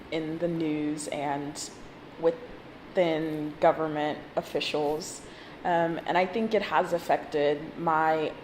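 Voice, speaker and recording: A woman in her twenties from Zimbabwe and Texas, talking reflectively and casually in an interview, often pausing mid-phrase. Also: lowish voice, darkish tone. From born in Zimbabwe, grew up in Texas from age four. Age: 20s. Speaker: graduate student interviewed in a documentary. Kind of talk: personal interview, reflective talk. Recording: roomy echo, faint background noise.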